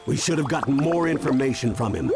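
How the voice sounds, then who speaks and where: An actor voicing a kindly, adventurous old grandfather with a gravelly voice in a cartoon game. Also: mid-range voice, big pitch swings, fast talker, over music. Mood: concerned. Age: older.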